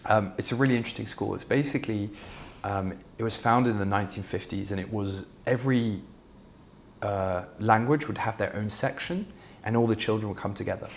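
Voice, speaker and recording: Low-voiced founder, candid. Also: male voice, brisk, a little hiss or hum. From Belgium. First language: Flemish. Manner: candid, fluent, many fillers and restarts. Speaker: startup founder, guest. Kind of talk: conversational interview answers.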